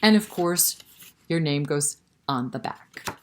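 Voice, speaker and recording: A woman's voice: sits low, expressive, slow, a little hiss or hum. A woman hosting a library storytime, reading a picture book and chatting directly to young children with questions. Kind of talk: children's picture-book read-aloud and chat.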